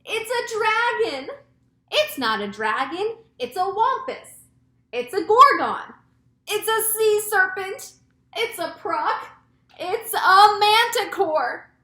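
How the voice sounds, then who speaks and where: Panicking policemen and firemen from a children's storybook, all voiced by a woman, cry out in alarm. The shouts are very high and bright. Each one is excited and exclamatory. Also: slow pace, roomy sound, exaggerated voice. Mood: panicked.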